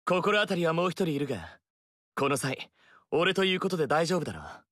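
Earnest young warrior, formal and eager, kinda high voice, dry studio sound. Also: male voice, big pitch swings, fairly quick, a bit bright, no room sound. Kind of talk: studio game dialogue.

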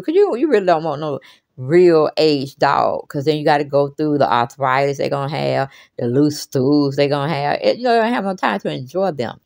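A woman's voice: deep voice, vocal fry, darkish tone, no room sound, clean studio sound. An American woman giving casual commentary as a host, reading an article aloud with chatty, folksy asides. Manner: chatty, folksy, exclaiming asides and repeated check-in phrases. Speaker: host commenting on celebrity news.

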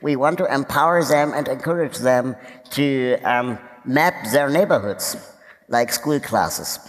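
A man's voice: mid-range voice, unhurried. A man giving a casual keynote talk on a conference stage, with frequent restarts. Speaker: keynote speaker. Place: large conference hall stage. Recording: reverberant hall.

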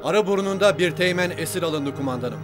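A man's voice: sits high, a little fast, lightly acted. A man playing an obedient soldier in a war cartoon, reporting in clipped, deferential military address. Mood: dutiful, brisk. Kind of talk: cartoon war drama dubbing. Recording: music playing under the voice. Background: background music.

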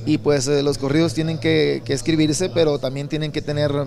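A Mexican man in his thirties from Sinaloa, answering interview questions at an indoor expo booth into a handheld mic, relaxed and rambling with many repeated words. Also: a little high, a little fast, vocal fry. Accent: Sinaloan Mexican Spanish. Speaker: songwriter and singer.

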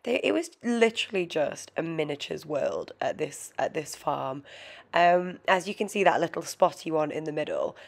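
A woman's voice: sits low, expressive, normal speed, gravelly. A British woman in her twenties with a nasal, cold-congested voice, giving a chatty, gushing vlog voiceover. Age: 20s. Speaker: vlogger. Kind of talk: casual voiceover narration.

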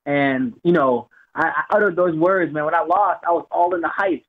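A man's voice: on the high side, normal speed. Young man on a tinny phone line. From Jamaica, raised in Florida. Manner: relaxed, rambling, repeats words. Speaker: guest fighter. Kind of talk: phone-in interview.

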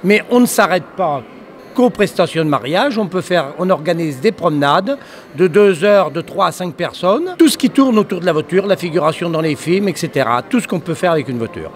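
Older male exhibitor, measured sales talk, notably high voice, a faint hiss behind. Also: expressive. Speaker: vintage car rental owner. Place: indoor exhibition hall. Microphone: handheld mic.

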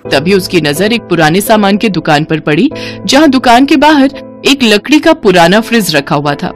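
A woman's voice: medium pitch, big pitch swings, moderate pace. A woman narrating a moral story as a scripted storyteller. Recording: music behind the voice. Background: background music.

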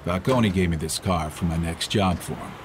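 An actor playing a gruff, weary older hired driver who narrates tersely in film noir style. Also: male voice, deep voice, normal speed, slight background noise, lightly acted. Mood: weary, matter-of-fact. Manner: hard-boiled, terse, profane. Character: gruff, weary old hired driver, world-worn tough guy.

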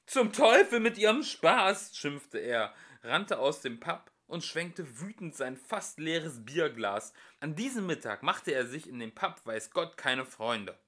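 A male amateur reader narrates a novel at home, with a bright, clear tone. His pitch moves freely and expressively, and now and then he trips over a word and carries on. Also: takes it slowly, hardly any pauses. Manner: expressive, lively reading with small slips. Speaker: audiobook reader. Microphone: home recording.

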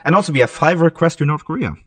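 A man's voice: on the high side, expressive, very fast, no room sound, recorded from a distance. A young man from Norway with a Norwegian accent, co-hosting a casual video call chat in a playful, teasing way. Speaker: co-host. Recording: dry, a bit off-mic.

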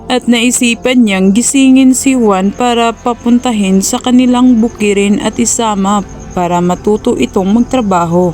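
A woman narrating a cartoon storybook in a plain, steady way. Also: on the high side, normal speed, faint music behind.

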